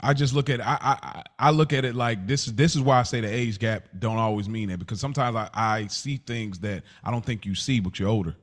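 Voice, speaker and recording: A man's voice: fairly low pitch, fairly quick, few pauses. A man co-hosting a podcast, talking casually and searching for words as he argues and acts out examples. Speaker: podcast co-host. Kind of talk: casual conversational debate.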